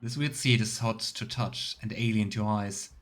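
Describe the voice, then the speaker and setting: A man's voice: lowish voice, moderate pace. A man doing casual let's play commentary, musing and thinking aloud, with music behind him. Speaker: video game streamer.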